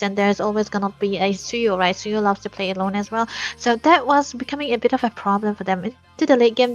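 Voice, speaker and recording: A woman casting a live esports match, breaking down plays and draft picks in detail. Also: medium pitch. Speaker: esports caster.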